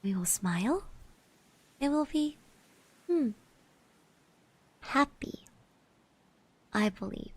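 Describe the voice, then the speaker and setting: Woman for ASMR roleplay: soft-spoken, reassuring, unhurried delivery, close and dry on the mic. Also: high-pitched, very animated, no room sound. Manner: gentle, reassuring, hesitant musing with pauses and soft hums. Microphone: close microphone, dry.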